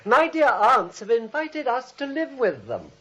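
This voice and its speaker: An actor playing a coldly domineering criminal with a deliberate menacing manner, in 1940s radio comedy style. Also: male voice, very high-pitched, wildly swooping pitch, bright, forceful tone, full character voice. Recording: dull old radio recording.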